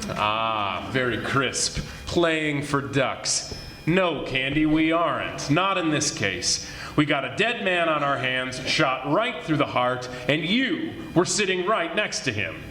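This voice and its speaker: A man playing a stern, wry police detective in a 1940s-style radio mystery, with a dry, deliberate manner. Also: a little high, big pitch swings, pushed and bright, heavily acted. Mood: stern, warning. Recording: roomy echoey stage recording with noise.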